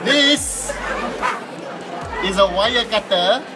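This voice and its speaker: A man's voice: high-pitched, expressive, drawn-out words, very noisy. A man giving a casual, jokey hands-on explanation as a hobby builder. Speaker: model kit builder being interviewed. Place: busy indoor convention hall. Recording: loud music and crowd behind.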